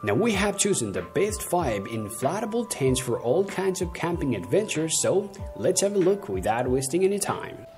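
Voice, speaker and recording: Young male reviewer reading a script over soft music, lively intonation, odd stress. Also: on the high side, moderate pace. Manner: reads a script with uneven stress and slips. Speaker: product review narrator.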